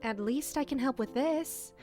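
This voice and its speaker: A woman's voice: high voice, unhurried, darkish tone, soft background music. An actress playing a deferential, reassuring attendant to a noblewoman in an audio drama, courteous and helpful in manner. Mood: reassuring, thoughtful.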